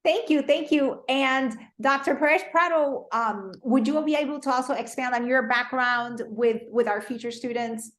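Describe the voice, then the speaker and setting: A woman hosting an online information session in a polite, semi-formal way, halting and repeating phrases as she goes. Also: high-pitched, fairly bright tone. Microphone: video call. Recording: roomy, echoey video call.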